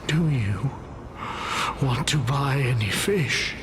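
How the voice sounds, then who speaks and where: A man voicing the forlorn, stubborn ghost of a fish seller in a video game, halting and repetitive. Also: mid-range voice, expressive, background noise. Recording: background music under the voice.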